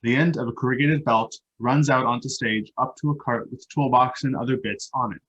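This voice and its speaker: A man with a slightly husky voice reading stage directions as scripted narration for a play. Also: medium pitch, fairly even intonation, brisk, fairly bright tone, lo-fi sound. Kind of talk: scripted narration at a live table read.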